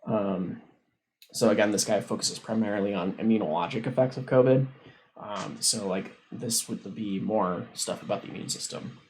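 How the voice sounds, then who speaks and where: Low-voiced man thinking aloud, noisy livestream. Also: steady tone, darkish tone. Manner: halting, informal, thinking aloud. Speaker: science livestream host. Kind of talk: casual explainer, reading a research paper aloud and commenting. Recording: noticeable background noise on livestream.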